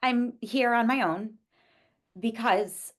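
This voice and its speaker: A woman's voice: a little high, slow pace. A woman playing an earnest, easily exasperated young student teacher in a script table read. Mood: earnest, pleading.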